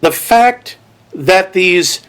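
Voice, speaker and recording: A man's voice: high-pitched, animated, drawn-out words, a bit bright. A man co-hosting a movie podcast, bantering playfully with puns. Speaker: podcast co-host. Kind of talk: casual movie podcast chat.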